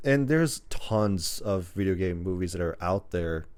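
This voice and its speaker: A man with a fairly low voice hosts a casual film podcast. He talks like he is telling a story to a friend, often slipping into imagined dialogue to act out what people said. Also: expressive, unhurried, a little mellow, clear, resonant tone. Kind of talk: casual chat about movies.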